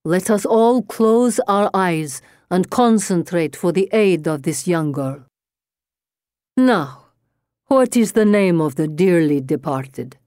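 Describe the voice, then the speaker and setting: A woman voicing a theatrical female spirit medium in a storybook, with a deep growl and slow, portentous delivery. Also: expressive, darkish tone, no room sound, clean studio sound. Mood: solemn, sympathetic. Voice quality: deep, growling low register. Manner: solemn, slow, portentous incantation.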